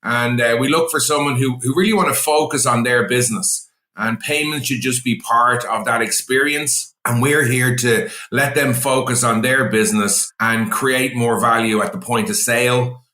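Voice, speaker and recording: Irish businessman guest, lively and bright-toned, talk-heavy with fillers, recorded in an echoey room. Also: mid-range voice. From Ireland. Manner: energetic, fluent business talk with frequent "you know" and "uh". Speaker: guest, payments executive. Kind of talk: podcast interview.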